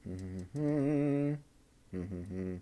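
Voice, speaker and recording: A man's voice: sits low, lively intonation, very slow, dark tone. A man thinking aloud and muttering to himself as he puts together a model kit, reading out part numbers in a casual running commentary. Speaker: show host.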